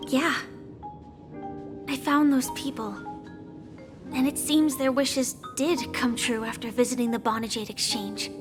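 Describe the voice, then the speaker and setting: An earnest, puzzled young investigator in a game. Her voice is high and unhurried, with a hesitant, questioning delivery. Clear music sits behind her. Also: steady tone. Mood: puzzled, doubtful. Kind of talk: game cutscene dialogue.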